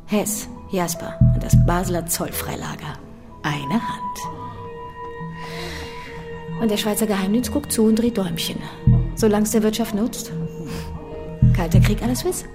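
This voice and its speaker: A woman plays a seductive informant in a radio thriller. Her medium-pitched voice is coaxing and insistent, close and secretive, over prominent music. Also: close to an everyday voice. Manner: intimate, coaxing, urgent. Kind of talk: radio drama acting.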